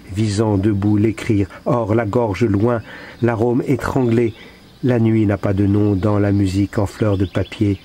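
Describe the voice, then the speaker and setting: A man with a fairly low, very mellow and dark voice recites poetry. His delivery is solemn and deliberate, with pauses between phrases. Faint background noise of birds and insects sits behind the voice. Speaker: poet reading own work.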